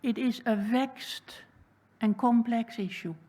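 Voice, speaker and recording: A woman with a Dutch accent making a prepared public submission at a council hearing, speaking in a measured, deliberate and earnest way. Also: on the high side, fairly even intonation, warm tone. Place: council chamber.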